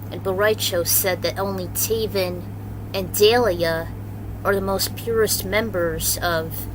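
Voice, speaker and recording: A woman's voice: on the low side, noisy background. A woman hosting and reading a story aloud in a casual, informal, unpolished way. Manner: informal, unpolished, swears freely. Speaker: host and reader of own fan fiction series.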